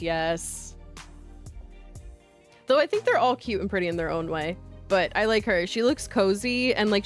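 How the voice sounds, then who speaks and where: A woman hosting a livestream of visual novels, chatting casually and playfully and sometimes reading aloud, in an informal way. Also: lowish voice. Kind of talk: casual game stream chat with reading aloud.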